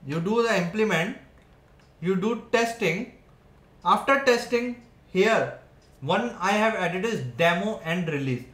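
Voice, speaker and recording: A man in his thirties walks through a whiteboard lesson in a high voice. He keeps checking in with his listeners as he goes. The room around him rings with echo. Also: fairly bright tone. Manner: explanatory, frequent "right?" and "okay" checks. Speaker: software engineering teacher. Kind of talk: whiteboard tutorial. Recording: echoey room.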